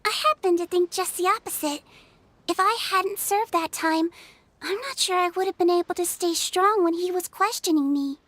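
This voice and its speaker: A woman voicing a small, gentle, non-human doctor in a game cutscene, who talks in a soft, sweet and earnest way. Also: very high voice, moderate pace. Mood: reassuring. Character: small gentle female Melusine doctor, kindly and calm. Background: game music.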